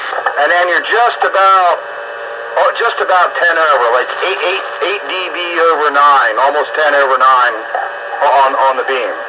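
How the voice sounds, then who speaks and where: A man's voice: a little high, normal speed, dull audio. A man chatting casually as an amateur radio operator, blunt and exasperated, puzzling aloud over a band-limited radio link. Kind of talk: casual on-air radio chat. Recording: band-limited radio transmission, loud static noise.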